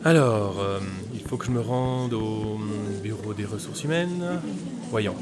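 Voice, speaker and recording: A man's voice: on the low side, unhurried, over a noisy crowd, lightly acted. A man playing a polite, lost new employee who speaks hesitantly with false starts, in a French audio comedy. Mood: awkward.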